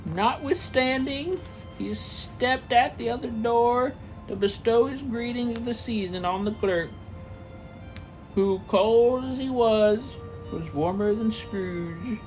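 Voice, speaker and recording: A woman with a high voice reads a story aloud. Her narration is theatrical and unhurried, with soft music faintly behind it. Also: poor audio. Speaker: story reader.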